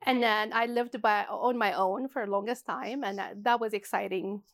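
Gravelly-voiced Ethiopian-born woman, hesitant. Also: medium pitch, fairly even intonation, normal speed, dry recording, studio quality. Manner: hesitant, many fillers and restarts. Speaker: interview guest, shop co-owner. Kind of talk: casual interview.

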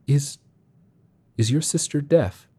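A man voicing an excitable, anxious, high-pitched young man. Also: takes it slowly, husky, exaggerated voice. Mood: startled. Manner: incredulous, stammering, blurting.

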